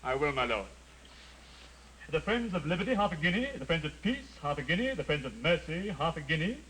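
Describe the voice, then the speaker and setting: An actor playing an obsequious, precise secretary flattering his lord, in period radio drama style. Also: fairly high pitch, a little fast, slight background noise, rough recording. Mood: smooth, obsequious. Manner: fawning, precise.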